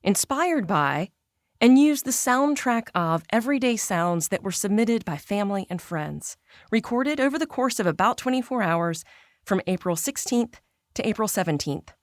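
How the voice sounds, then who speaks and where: A woman's voice: mid-range voice, lively intonation, normal speed, no echo, clean studio sound. A woman hosting an audio drama podcast, reading a scripted introduction. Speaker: host and audio drama creator.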